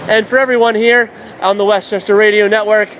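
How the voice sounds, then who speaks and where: High-voiced young man calling hockey. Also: a little hiss or hum, poor audio. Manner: rapid excited calls rising to shouts on goals. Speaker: play-by-play announcer. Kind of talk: radio hockey play-by-play. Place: arena press box.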